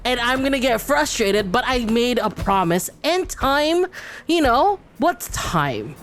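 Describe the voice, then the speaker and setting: A woman's voice: fairly high pitch, very animated, takes it slowly, a bit bright, a little hiss or hum. A woman playing a tabletop game, casually improvising what her character does and says. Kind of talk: casual chat, improvised role-play.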